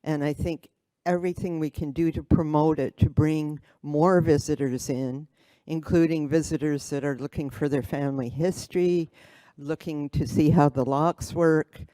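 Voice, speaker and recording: An older woman giving a short, halting award acceptance speech at a podium microphone, with fillers. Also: low voice, steady tone, mellow, dry recording, poor audio. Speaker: award recipient.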